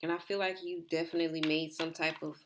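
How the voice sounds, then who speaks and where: Woman, tarot reader, rambling casual talk, slightly hoarse, low voice. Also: flat delivery, quick pace, a bit bright, slight background noise. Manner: rambling, repeats herself, filler "like". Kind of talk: casual tarot reading to viewers.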